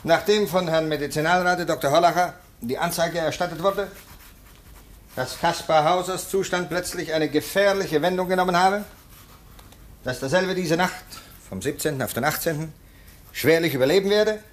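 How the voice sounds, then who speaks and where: An actor playing a cold, sceptical police lieutenant in a 1950s radio drama, formal, clipped and sardonic. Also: male voice, on the high side, moderate pace, natural voice. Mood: formal dictating. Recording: noisy vintage radio recording.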